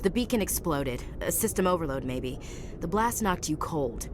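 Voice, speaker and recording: Earnest soldier, lowish voice. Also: female voice, lively intonation, normal speed, music in the background. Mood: earnest, apologetic. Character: earnest, dutiful young female soldier. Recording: clear engine-like ship noise behind.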